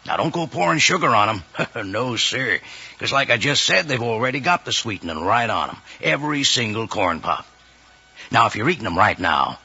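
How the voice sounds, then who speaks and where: Husky folksy old cowboy. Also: male voice, on the low side, heavily acted. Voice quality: husky, gravelly. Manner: drawling, chummy, animated. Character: folksy, jolly old male cowboy pitchman. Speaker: character pitchman in cereal commercial. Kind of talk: 1950s radio ad, cowboy character. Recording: dull old radio recording.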